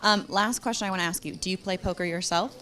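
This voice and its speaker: A woman's voice: fairly low pitch, fast talker, pushed and bright. A woman in her thirties hosting an on-camera interview, with a bubbly and chatty manner. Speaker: poker interviewer. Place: casino floor.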